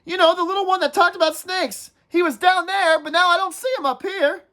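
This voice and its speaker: A woman reading a book aloud and voicing a whiny, anxious boy with glasses in a high, complaining voice. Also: fairly even intonation, brisk, fairly bright tone. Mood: frantic. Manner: shrill, complaining, frantic. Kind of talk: storybook read-aloud.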